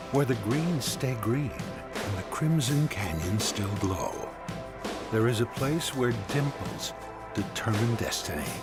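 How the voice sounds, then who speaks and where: A man narrating a scripted tourism advertisement. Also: mid-range voice. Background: loud music.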